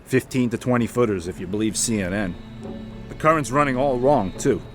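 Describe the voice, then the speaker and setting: An actor playing a blunt, stubborn, profane sea captain and treasure hunter in an audio drama. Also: male voice, mid-range voice, expressive, brisk, over music, close to an everyday voice. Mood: exasperated. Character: gruff, stubborn, hot-tempered male boat captain and treasure hunter. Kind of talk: full-cast audio drama acting.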